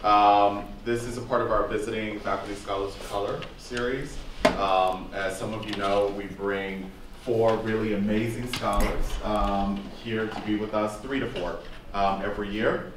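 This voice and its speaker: A man hosting and opening a university talk series, relaxed and full of ums. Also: lowish voice, moderate pace. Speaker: series organizer, host. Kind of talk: opening remarks. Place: university classroom. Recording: very echoey classroom from far off, noisy.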